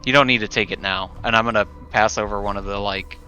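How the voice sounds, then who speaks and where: A man playing a tabletop roleplaying game, talking casually and haltingly as he thinks aloud. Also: fairly low pitch, fairly even intonation, pushed and bright, soft background music. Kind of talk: casual improvised game chat. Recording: dull lo-fi audio, faint background noise.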